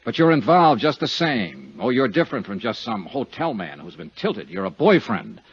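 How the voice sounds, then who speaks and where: A hard-boiled American investigator in an old radio drama. His husky voice moves up and down a lot as he talks in terse, pointed phrases, tough but humane. The recording is dull and lo-fi, in 1950s radio style. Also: mid-range voice, sing-song, normal speed, full character voice. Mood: earnest, hard. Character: tough, persistent male insurance investigator, world-weary but humane. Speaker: insurance investigator hero and narrator.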